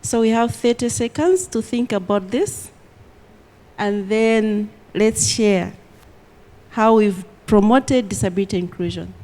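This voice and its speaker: Unhurried woman facilitating a discussion. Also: medium pitch, mellow. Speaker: session facilitator.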